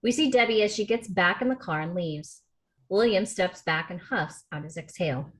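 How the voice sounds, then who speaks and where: A woman reading a script's stage directions aloud in a steady, matter-of-fact way for a table read over a video call. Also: fairly low pitch, moderate pace.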